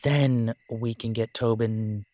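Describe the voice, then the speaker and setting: A man playing a determined, pragmatic werewolf pack leader in an audio roleplay, measured, reassuring and matter-of-fact. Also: mid-range voice, steady tone, warm tone, no room sound, close to an everyday voice. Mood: reassuring, planning.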